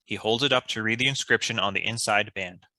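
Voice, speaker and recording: Very bright male voice, fairly low, scripted narration, stage directions. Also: brisk. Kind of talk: scripted narration for a table read.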